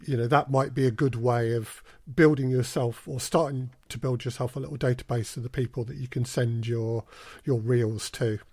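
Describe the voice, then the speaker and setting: A man speaking as a podcast guest, explaining things in a measured way with hesitant repetitions. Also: medium pitch, steady tone, darkish tone, slightly rough, no echo, clean studio sound. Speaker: guest, audio drama producer. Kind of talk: casual podcast interview.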